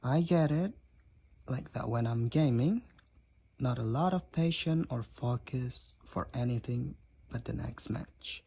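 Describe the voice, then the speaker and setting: A man's voice: medium pitch, measured pace, dark tone, breathy edge, rough recording. A man playing a candid, teasing demon college roommate and hot-headed gamer in a roleplay audio drama, talking bluntly and conversationally to the listener. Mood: apologetic, awkward.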